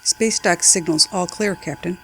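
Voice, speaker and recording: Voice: mid-range voice, a little slow, fairly bright tone, slight background noise. A performer playing a formal, dutiful starship communications officer in a science fiction audio drama. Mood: formal, reporting.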